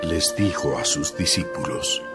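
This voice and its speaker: A man narrating a dramatized Bible story in a measured, solemn scripted style. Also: extremely low pitch. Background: prominent music.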